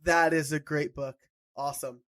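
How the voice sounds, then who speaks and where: A man from New York hosting a podcast, asking casual questions and answering with quick, upbeat affirmations. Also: fairly high pitch, steady tone, a bit bright. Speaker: podcast host.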